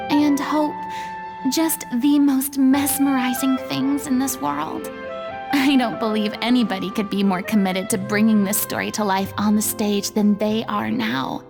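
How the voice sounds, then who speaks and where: A woman voicing a confident, earnest young mentor of performers in a video game, with grand, theatrical phrasing. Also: sits high. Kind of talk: dramatic video game voice acting.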